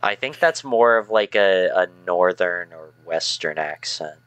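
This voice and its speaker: A man's voice: deep voice, lively intonation, unhurried, fairly bright tone, smooth, no room sound. A man from Canada chatting on a stream, laid-back and matter-of-fact. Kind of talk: casual chat.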